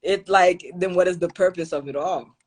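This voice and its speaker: A woman's voice: on the low side, normal speed. A young adult woman from Haiti, a podcast guest, talking in an earnest, reflective way and laughing easily. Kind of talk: casual interview talk.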